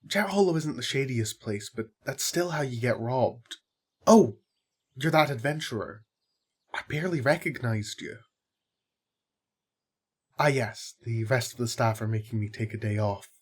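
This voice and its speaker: A man playing a friendly, concerned tavern worker in an audio drama, with a husky voice and a casual, earnest manner. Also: medium pitch, unhurried, long pauses. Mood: casual, curious. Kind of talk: audio roleplay acting.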